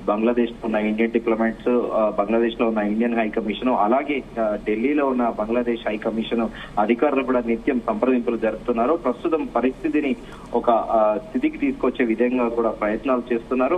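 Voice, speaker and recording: A man giving a live news report as a correspondent, halting often with filler sounds. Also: medium pitch. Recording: dull, muffled live link with faint noise.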